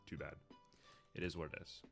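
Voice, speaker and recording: A man's voice: a bass voice, flat delivery, brisk, gravelly, faint music behind. A man streaming live while building a 3D model, thinking aloud with frequent ums, a little sniffly. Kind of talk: casual live-stream commentary while working.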